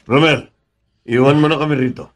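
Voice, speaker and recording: A man's voice: on the high side, steady tone, fairly quick, lightly acted. An actor playing a protective, firm middle-aged father in a Filipino radio drama, speaking emphatically. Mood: exasperated, stern.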